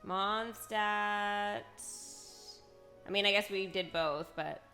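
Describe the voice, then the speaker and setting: A woman game streamer giving casual, unhurried commentary, thinking aloud as she plays. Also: medium pitch, pushed and bright, soft background music.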